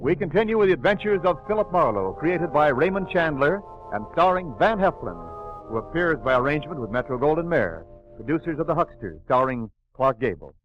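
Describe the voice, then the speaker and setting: A man's voice: very animated, a little fast. A male radio announcer giving a formal, emphatic program introduction. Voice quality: booming, emphatic announcer delivery. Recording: lo-fi 1940s radio broadcast over loud music. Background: loud orchestral music with timpani.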